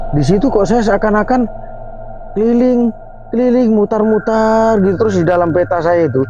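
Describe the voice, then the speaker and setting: Man telling a spooky story, mellow. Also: fairly high pitch. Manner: earnest, emphatic storyteller with many fillers. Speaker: host. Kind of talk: casual storytelling on a night outing. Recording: lo-fi with faint music.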